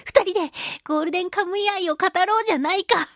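A woman hosting a radio show, chatting casually and reading listener mail in a playful, expressive way. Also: very high-pitched, fast talker, dry recording, clean studio sound. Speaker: radio show host, voice actress.

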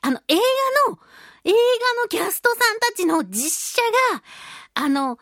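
High-pitched, animated woman radio host. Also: normal speed, no room sound, clean studio sound. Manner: giggly, expressive, chatty. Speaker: radio show host and voice actress. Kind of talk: casual radio chat, reading listener mail.

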